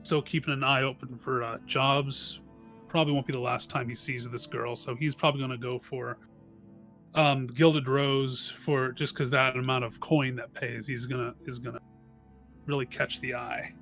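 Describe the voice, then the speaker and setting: A man's voice: medium pitch, little pitch movement, normal speed, fairly bright tone, soft background music. Hesitant man chatting during a tabletop game. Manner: hesitant, stumbling, thinking aloud. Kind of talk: casual game chat.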